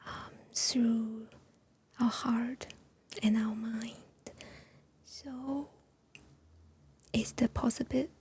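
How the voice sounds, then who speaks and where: A woman whispering gently in an ASMR chat, pausing often with soft fillers, a Chinese speaker talking in English. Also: on the high side, husky. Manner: soft unvoiced whisper with many pauses and fillers. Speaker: ASMR creator.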